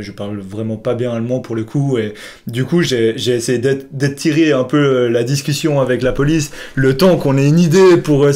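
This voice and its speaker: A man's voice: mid-range voice, darkish tone. A young man co-hosting a podcast, casually telling an anecdote to a friend in slangy, laughing bursts. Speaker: podcast co-host.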